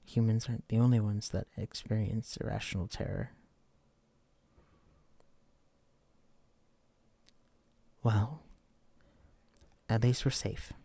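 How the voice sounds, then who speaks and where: A man's voice: fairly low pitch, steady tone, normal speed, creaky, dark tone, slightly rough, dry recording. A man playing a wary, protective psychic escapee in an immersive audio drama, speaking directly to a companion. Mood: relieved, earnest. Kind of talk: immersive roleplay audio drama, addressing the listener.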